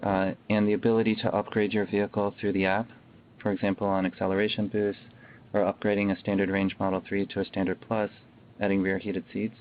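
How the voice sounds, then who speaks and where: Man, fairly flat intonation, lowish voice, businesslike executive explaining, thin telephone sound with slight noise. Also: brisk. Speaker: company executive answering questions. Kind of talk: businesslike explanation on an earnings call. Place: conference call, heard through a livestream. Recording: tinny phone-like call audio, faint noise.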